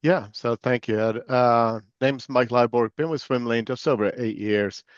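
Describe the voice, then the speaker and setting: A man from Sweden with a Swedish accent blended with American Southern tones, answering interview questions in a relaxed, filler-filled way. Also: medium pitch, normal speed, no echo, muffled recording. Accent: Swedish mixed with American Southern. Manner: relaxed, many fillers and restarts. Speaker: guest, security executive.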